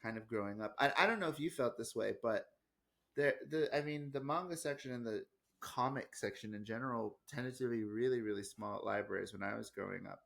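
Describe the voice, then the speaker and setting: An American man co-hosting a casual podcast discussion, speaking in a thoughtful, measured and earnest way. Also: mid-range voice, vocal fry, fairly bright tone. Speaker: podcast co-host.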